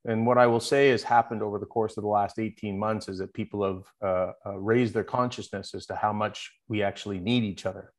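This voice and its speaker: A man's voice: fairly low pitch, fairly even intonation, brisk, hardly any pauses. A man being interviewed as a guest, speaking in an earnest, upbeat way over a remote call. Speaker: guest, founder of a connection project. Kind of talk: interview conversation.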